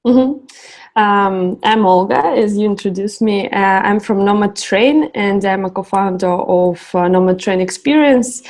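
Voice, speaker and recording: Woman, halting interview English. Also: mid-range voice, a little mellow. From Russia. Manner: hesitant, many fillers and restarts. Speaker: guest, co-founder of a travel project.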